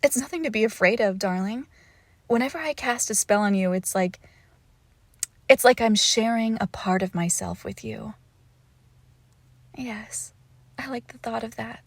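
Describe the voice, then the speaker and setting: Actress as doting, creepy yandere witch for ASMR audio drama: coaxing, teasing, highish creaky voice, close soft delivery and whispers. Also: dry recording. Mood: tender, possessive. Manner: coaxing, teasing, slow breathy whispers between soft speech. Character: lovesick, possessive witch doting creepily on her captive. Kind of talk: intimate ASMR roleplay acting.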